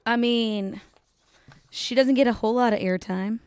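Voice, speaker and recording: A woman's voice: on the high side, moderate pace, darkish tone, clear, resonant tone. A woman on a podcast panel, chatting casually. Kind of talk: casual chat.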